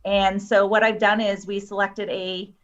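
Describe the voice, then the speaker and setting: A woman's voice: mid-range voice, flat delivery, a little slow, muffled recording. A woman guest explaining things in casual chat, as a screenwriter would on an online show.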